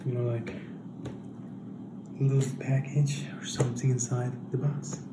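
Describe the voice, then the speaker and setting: A man presenting a comic unboxing video in a casual vlog style, with a halting, understated delivery and drawn-out phrases. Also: medium pitch, flat delivery. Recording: roomy echo, loud background noise.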